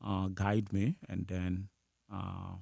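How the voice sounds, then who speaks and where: A man from Haiti with a Haitian accent, a priest whose native language is Creole, answering interview questions haltingly with small laughs. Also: low voice, completely flat delivery, vocal fry, dark tone, breathy edge, no room sound, clean studio sound. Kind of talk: interview conversation.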